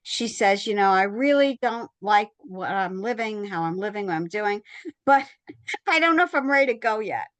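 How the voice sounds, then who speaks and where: A woman, a bereavement specialist and co-host, speaking emphatically in a casual conversation, with quick bursts of speech. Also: mid-range voice, animated, no echo.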